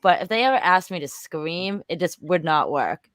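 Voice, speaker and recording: A woman with a raspy voice chatting casually on a panel, self-mocking and quick to laugh. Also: on the low side, expressive, no echo, clean studio sound. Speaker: podcast panelist.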